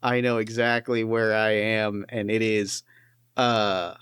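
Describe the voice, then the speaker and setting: A man's voice: lowish voice, level tone, takes it slowly, fairly bright tone, clean tone, no room sound, studio quality. A man running a tabletop role-playing game, talking casually with lots of fillers and restarts. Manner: rambling, many fillers and restarts. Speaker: game master. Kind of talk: casual tabletop play and narration.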